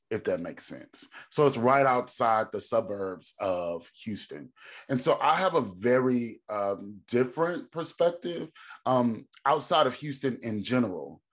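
A man from Texas with a deep, mid-pitched voice talks about his life as a guest on a podcast. He is reflective and thinks aloud, pausing on ums and restarting his sentences as he goes. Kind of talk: reflective conversational storytelling.

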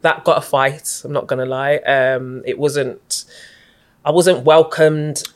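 A middle-aged woman, a guest in an interview, recounting her story in a reflective, even-toned, unhurried way. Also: a bass voice. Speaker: guest, community activist.

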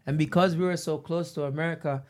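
Male host, higher register, casual discussion, animated storyteller. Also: fast talker. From Jamaica.

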